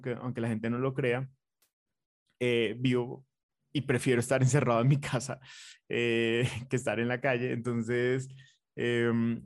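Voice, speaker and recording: A man's voice: medium pitch, darkish tone, no echo, clean studio sound. A man with a Colombian accent, a designer being interviewed, talking in an earnest, reflective way with fillers and restarts. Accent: Colombian Spanish. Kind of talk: conversational interview.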